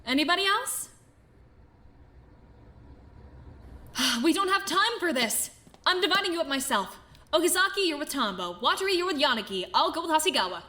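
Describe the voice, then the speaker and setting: A woman playing a brisk, bossy, impatient young student leader in an animated mystery, speaking in curt, commanding phrases. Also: high-pitched, full character voice. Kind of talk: dramatic animated mystery voice acting.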